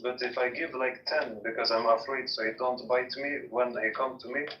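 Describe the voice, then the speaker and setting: Male guest with halting broken English, in a reverberant, lo-fi room. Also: medium pitch, fairly even intonation. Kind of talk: casual chat. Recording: roomy, lo-fi.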